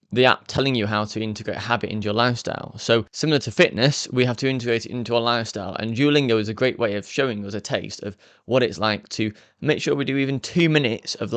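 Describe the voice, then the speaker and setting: A man, hosting a language learning channel and explaining step by step in a brisk, upbeat way. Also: fairly low pitch, dry recording. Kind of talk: explainer talking to camera.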